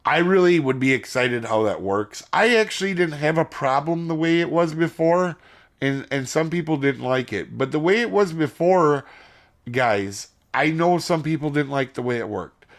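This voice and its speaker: Gaming host, adult man, gravelly and strained from losing his voice, rambling casual delivery aimed at "guys". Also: a little high, animated, moderate pace. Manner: rambling, addresses viewers as guys. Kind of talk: casual video commentary.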